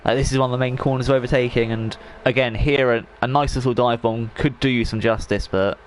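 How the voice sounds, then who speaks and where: A man's voice: medium pitch, steady tone, fast. Guy calling an online race, voice going a bit, rambly chatty style, faint hum behind. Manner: chatty, rambling, frequent fillers and restarts. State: losing his voice. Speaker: race commentator for an online racing league. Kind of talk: casual sim racing commentary.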